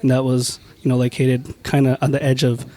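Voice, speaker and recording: A man from Washington State, a podcast guest, speaking in a measured, hesitant way, with many restarts and "you know". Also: mid-range voice, monotone, warm tone. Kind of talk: casual panel chat.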